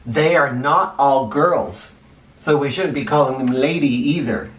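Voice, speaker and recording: A man's voice: medium pitch, a little slow. A middle-aged man teaching young children and reading a picture book aloud, explaining simply and stressing key words. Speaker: first grade teacher. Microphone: camera a short distance away. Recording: roomy, distant camera microphone.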